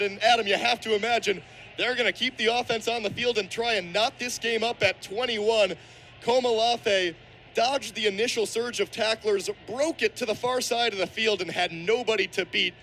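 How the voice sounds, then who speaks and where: A man's voice: very high-pitched, moderate pace, low-quality recording. A young man doing live football play-by-play on the radio as the main commentator. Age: young adult. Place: outdoor football stadium broadcast. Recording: stadium broadcast with loud crowd-like ambient noise.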